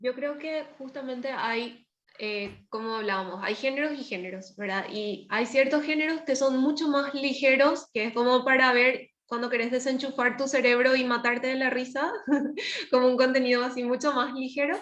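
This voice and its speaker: A woman's voice: high voice, moderate pace, a bit bright, reverberant, highs cut off. A woman with a Paraguayan Spanish accent speaking casually and expressively as a panelist in a discussion.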